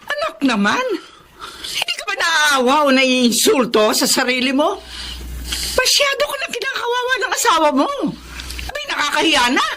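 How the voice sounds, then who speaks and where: An indignant older mother from a Filipino radio drama. Her high, piercing voice swings widely as she reproaches and frets emphatically. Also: sing-song, normal speed, bright, forceful tone, slight background noise, full character voice. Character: indignant, fretting older mother of wronged daughter.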